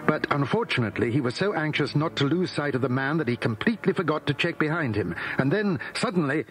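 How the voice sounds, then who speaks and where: A man's voice: on the high side, lively intonation, fast, fairly bright tone, rough recording. A man narrating a scripted children's story.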